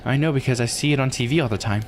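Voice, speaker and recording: A man's voice: mid-range voice, rapid-fire, slight background noise. A man live-streaming a visual novel, reading text aloud and musing casually as he plays. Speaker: game streamer.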